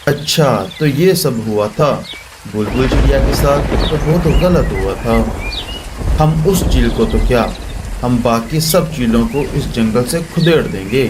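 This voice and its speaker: A man's voice: medium pitch, expressive, slow, background noise. A man voicing a concerned, protective brotherly crow in a children's cartoon, speaking earnestly. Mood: resolute.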